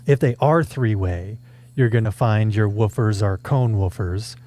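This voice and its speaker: Slow, low, mellow-voiced man chatting. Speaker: interviewer and reviewer. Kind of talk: casual chat.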